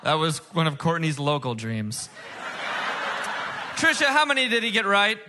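A man's voice: on the high side, huge pitch swings, normal speed, noisy background. A man hosting a comedy game show on stage, with a showy, emphatic announcer delivery. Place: stage before a live audience.

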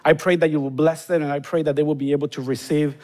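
A man's voice: fairly high pitch, little pitch movement, fast, dark tone. A man in his forties from Honduras with a Honduran Spanish accent, a guest pastor preaching a sermon and praying, earnest and rising to emphatic bursts. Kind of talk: sermon with prayer. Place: large church stage, indoors.

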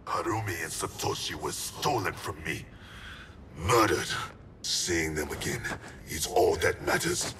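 Grave, slow, deep-voiced ninja warrior. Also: male voice, expressive, noisy background. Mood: grave, intense. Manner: solemn, formal, deliberate. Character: vengeful, grave male ninja warrior clan master. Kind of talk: dramatic video game cutscene acting. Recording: loud music behind the voice.

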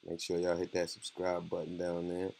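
A man giving a casual software tutorial in a laid-back, slangy way. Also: sits low, monotone, moderate pace, gravelly, a little hiss or hum, low-quality recording. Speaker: music production tutorial host.

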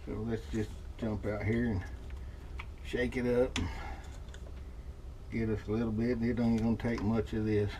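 A middle-aged man giving a relaxed, folksy running commentary as he demonstrates a hands-on craft at his workshop bench. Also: on the low side, unhurried, long pauses. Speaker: lure painter demonstrating. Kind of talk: casual how-to demonstration. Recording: noisy workshop with airbrush spray.

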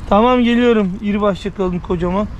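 Chatty dad filming outdoors, noisy mic. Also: male voice, sits high, fast, muffled recording. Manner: chatty, playful with his son, commenting while catching. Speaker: fishing channel host. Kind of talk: casual outdoor vlog talk to camera. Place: outdoors by a park stream. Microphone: action camera on the body. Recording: outdoor camera audio with steady background noise.

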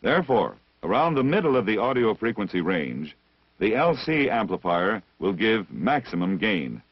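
A man narrates a vintage instructional film in a slightly low, animated voice with deliberate, didactic phrasing. His tone is a little husky, and the old soundtrack is dry and narrow, dulling the sound. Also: no echo. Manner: measured, didactic, deliberate enunciation. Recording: dull, band-limited vintage film soundtrack.

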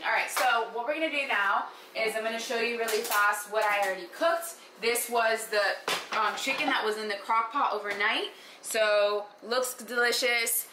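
A woman's voice: fairly high pitch, recorded from a distance. A young woman walking viewers through her meal prep step by step in an upbeat, casual way, in a small home kitchen on a camera mic. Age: young adult. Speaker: vlogger. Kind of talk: casual cooking vlog walkthrough. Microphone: handheld camera mic. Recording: echoey kitchen with background noise.

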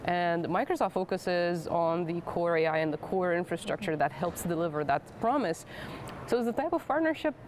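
A woman's voice: on the low side, animated, moderate pace, darkish tone. Woman from Romania, thirties, upbeat articulate speech, lapel mic, faint hum of a big atrium. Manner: articulate, upbeat, frequent tag questions. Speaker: product manager. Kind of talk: corporate interview. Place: large modern atrium lobby with plants.

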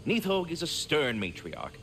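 A man's voice: on the high side, very animated, measured pace, noisy background. A man voicing a chatty, courtly talking squirrel in a video game, fussy and affable with pompous, theatrical enunciation. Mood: cheerful, instructive. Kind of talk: video game character acting.

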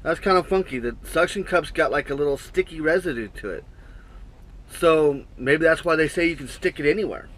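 A man's voice: fairly high pitch, lively intonation, a little fast, a bit bright, rough recording. A man in his thirties, a trucker, casually unboxing and reviewing gear in his truck cab, rambling and thinking aloud with lots of fillers, recorded on an action camera. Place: small truck sleeper cab, indoors. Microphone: action camera on the cab wall, built-in mic.

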